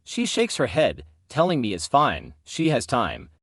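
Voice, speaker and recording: Animated male storyteller, dry close sound. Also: medium pitch, unhurried, vocal fry, no echo. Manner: acts out quoted dialogue. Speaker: channel host narrator reading stories. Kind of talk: scripted story narration.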